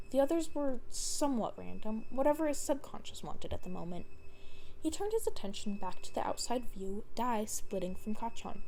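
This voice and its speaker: A woman's voice: fairly high pitch, warm tone, a little hiss or hum, low-quality recording. A woman narrating a scripted story as a fan fiction reader.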